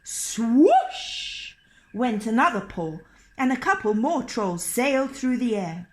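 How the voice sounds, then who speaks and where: A woman narrating a children's story in an expressive, dramatic and deliberate way. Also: fairly high pitch.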